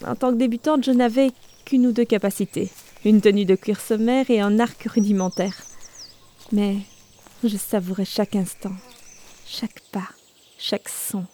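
A woman's voice: a little high, natural voice. A woman playing a wonderstruck beginner archer, narrating her first steps in a French audio drama. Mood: hushed awe. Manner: savouring, descriptive, hushed wonder. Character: wonderstruck young female novice archer in virtual world.

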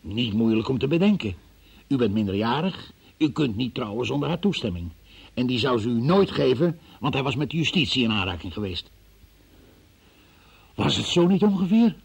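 An actor playing a relentless, methodical and sceptical male interrogator in a radio play, with a gravelly, husky voice. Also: mid-range voice, very animated, moderate pace, long pauses, heavily acted. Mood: methodical, insistent. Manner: insistent, probing, methodical, sceptical. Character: relentless male interrogator questioning a young murder witness. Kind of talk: Dutch radio play acting.

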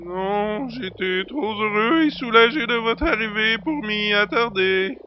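An actor playing an excitable, long-winded factory director in an audio drama, with gushing, formal manners. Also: male voice, sits high, unhurried, dull audio, a little hiss or hum. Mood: polite, flustered. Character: effusive, rambling, excitable male factory director. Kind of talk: French audio drama acting.

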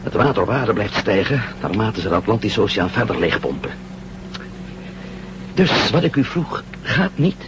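An old radio drama recording, dull and clearly noisy. a bold, quick-witted astronaut hero. His pitch moves freely as he persuades and takes decisions. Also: very animated. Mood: pressing. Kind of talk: Dutch radio drama acting.

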